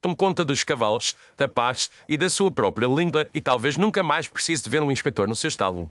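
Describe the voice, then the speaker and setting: An actor playing an analytical, authoritative detective with a formal, didactic manner in an audio mystery story. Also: male voice, medium pitch, expressive, brisk, a bit bright, dry recording, lightly acted. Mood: composed, didactic.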